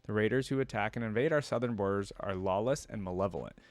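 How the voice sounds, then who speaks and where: A man reading the part of a virtuous, solemn temple priestess in a storybook, with earnest, measured delivery. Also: on the low side, no room sound, lightly acted.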